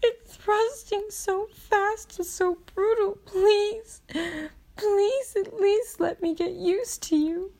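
A woman playing a proud, distressed adult demigoddess in an audio roleplay, with halting, breathy exclamations. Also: very high voice, fairly even intonation, darkish tone, heavily acted. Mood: distressed, pleading.

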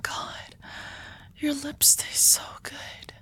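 A woman voicing an anxious, devoted young female vampire who confesses her love in a soft, hesitant whisper, in an intimate audio roleplay. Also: drawn-out words. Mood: tender, confessing.

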